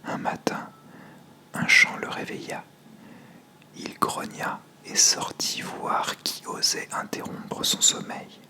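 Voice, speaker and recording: A storyteller narrating a fable in a hushed, measured ASMR whisper.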